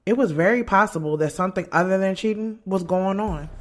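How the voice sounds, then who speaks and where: A woman's voice: mid-range voice, brisk, lightly acted. An actress playing a candid, loyal woman who narrates her own story in an audio drama, in a conversational, slangy and frank way. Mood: reflective. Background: music.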